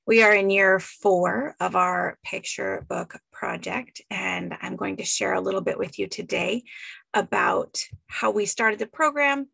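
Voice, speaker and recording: Very gravelly middle-aged woman presenting. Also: medium pitch, measured pace, a bit bright, dry recording. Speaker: teacher librarian presenting. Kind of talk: informal slide presentation. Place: indoors, online presentation.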